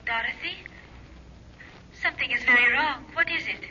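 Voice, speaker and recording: An actress playing a mysterious woman who speaks in slow, solemn, archaic phrases, in 1930s radio drama style. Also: high-pitched, sharp tone, a little hiss or hum. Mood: anxious. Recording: muffled old radio recording with music.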